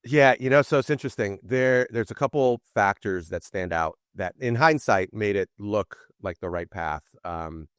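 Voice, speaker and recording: A middle-aged man telling stories from his career in a casual interview, animated and acting out quoted voices. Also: on the low side, moderate pace, few pauses, clean tone, no room sound, clean studio sound. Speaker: podcast guest.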